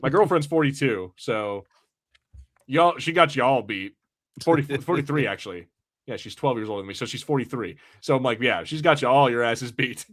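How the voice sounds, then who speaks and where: A man's voice: medium pitch, moderate pace, fairly bright tone. A man in his thirties co-hosting a podcast, chatting loosely, rambling, and reading out viewer comments. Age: 30s. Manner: rambling, easy-going, laughs often. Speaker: podcast co-host. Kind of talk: casual podcast banter, reading chat comments.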